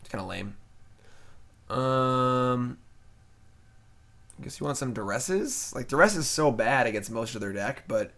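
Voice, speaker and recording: A man's voice: mid-range voice, animated, unhurried, gravelly, long pauses, fairly bright tone. A man streaming a card game live, casually thinking aloud about his plays and criticizing his own mistakes. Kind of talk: casual live gameplay commentary.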